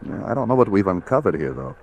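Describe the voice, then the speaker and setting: An actor playing a businesslike police lieutenant in an old radio crime drama, clipped and official. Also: male voice, sits low, very animated, fast talker, darkish tone, a little hiss or hum. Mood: matter-of-fact. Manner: clipped, matter-of-fact, official. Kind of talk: mid-century radio crime drama acting.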